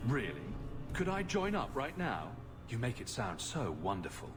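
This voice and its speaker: Game role: wry, doubting male hero, dry delivery, mid pitch, reverberant hall. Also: huge pitch swings, normal speed. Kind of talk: video game dialogue acting. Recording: game dialogue in reverberant hall, music behind.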